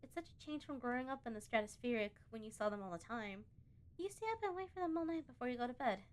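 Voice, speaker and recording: High-voiced woman reading through a video game. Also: animated, fast. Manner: reacts aloud, thinks out loud while reading. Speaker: gaming video host. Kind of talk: casual let's play commentary, reading game text aloud.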